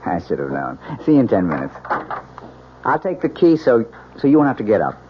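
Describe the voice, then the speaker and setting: An actor playing an affectionate, teasing new husband with a coaxing, easygoing manner in a radio drama. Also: male voice, fairly low pitch, big pitch swings, normal speed. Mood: casual, teasing. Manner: coaxing, playful, casual. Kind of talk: 1970s radio drama acting. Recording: dull radio recording, faint noise.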